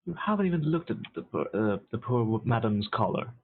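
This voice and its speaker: A man's voice: mid-range voice, sing-song, fairly quick, slightly rough. A man playing a tabletop roleplaying game online, bantering playfully and flirtatiously in character. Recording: tinny online call audio.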